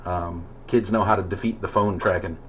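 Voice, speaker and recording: A man's voice: sits low, steady tone, normal speed, fairly bright tone. A middle-aged American man chatting casually over CB radio in a rambling, hesitant way. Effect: CB radio transmission. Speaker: amateur CB radio operator. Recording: muffled CB radio signal with static noise.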